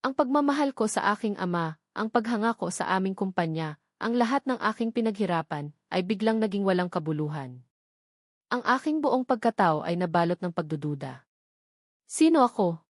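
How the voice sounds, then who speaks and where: A woman's voice: medium pitch, very animated, moderate pace, no room sound, clean studio sound. A woman with a Filipino accent narrating a dramatic first-person love story from a script, earnestly and in short dramatic phrases.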